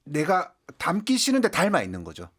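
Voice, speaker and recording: Animated man in his forties. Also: on the high side, fairly quick. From Daegu, South Korea. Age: 40s. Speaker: guest, sociologist and writer. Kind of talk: conversational explanation on a radio talk show. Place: radio studio.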